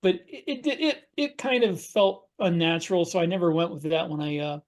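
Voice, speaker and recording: A middle-aged man co-hosting a podcast as an author, talking casually and haltingly from a home study on a video call. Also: high voice. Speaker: podcast co-host and science fiction author. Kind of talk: casual panel discussion.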